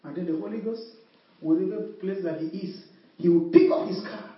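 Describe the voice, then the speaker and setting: A woman's voice: on the low side, fairly quick. Woman, preacher, emphatic repeated refrains, very echoey church hall, distant dull recording. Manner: repetitive call-and-response preaching, emphatic refrains. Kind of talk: sermon.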